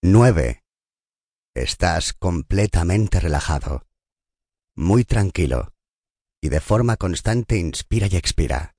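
A man narrating a scripted guided meditation in a calm, soothing and unhurried manner. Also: low-pitched, no echo, studio quality.

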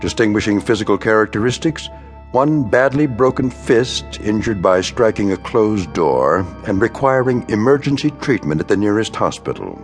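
An actor playing a wry, authoritative narrator of eerie tales, measured and dramatic, in a scripted radio drama. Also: male voice, on the low side, lively intonation, faint music behind. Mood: wry, ominous.

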